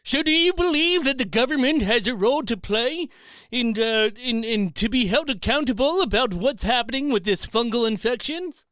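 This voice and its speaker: Earnest, formal TV interviewer played by a man, extremely high voice, animated and bright, for mock news comedy. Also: no room sound, heavily acted. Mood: politely puzzled. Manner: formal, measured questioning.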